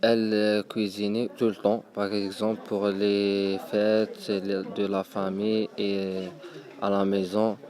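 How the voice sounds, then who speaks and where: Slow, flat young man, Algerian accent. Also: on the low side. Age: young adult. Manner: hesitant, halting. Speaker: high school student. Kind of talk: personal account.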